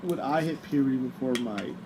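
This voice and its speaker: A young man co-hosting a casual chat show, relaxed and laughing along. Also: mid-range voice, a little fast, slight background noise, rough recording. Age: young adult. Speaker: co-host.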